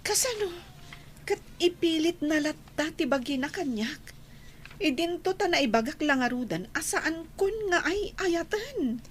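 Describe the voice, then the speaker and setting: A woman's voice: extremely high pitch, lively intonation, measured pace, slight background noise. A woman acting a firm, emotional young student who turns down a suitor in an Ilocano radio drama. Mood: firm, frustrated.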